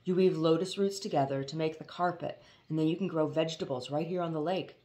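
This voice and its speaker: A woman voicing a gentle, melancholy father, a storyteller in a storybook, with a wistful, mild manner. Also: low voice, quick pace, close to an everyday voice. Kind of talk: storybook character reading.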